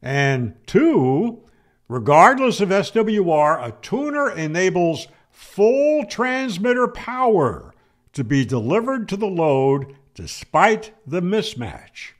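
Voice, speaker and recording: Older male explainer, unhurried and emphatic, animated intonation, recorded close on a studio mic at home. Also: high-pitched. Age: older, 60s or 70s. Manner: emphatic, rhetorical questions and firm answers. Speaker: ham radio explainer, video host. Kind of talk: explanatory lecture to camera. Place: small home room, indoors. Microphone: close studio mic on boom arm.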